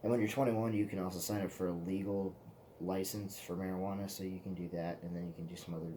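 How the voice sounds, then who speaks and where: A man's voice: sits low, fairly even intonation, fast, mellow, a little hiss or hum. A man in his twenties, hosting his own vlog in a loose, profane, meandering casual chat. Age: 20s. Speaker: vlog host.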